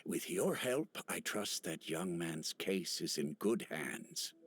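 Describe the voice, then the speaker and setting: An actor playing a humble, gentle elderly village chief in a video game, speaking in a halting, wistful manner. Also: male voice, sits low, animated. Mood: kindly. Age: older. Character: kindly, humble elderly male village chief with failing eyesight. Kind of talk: video game character acting.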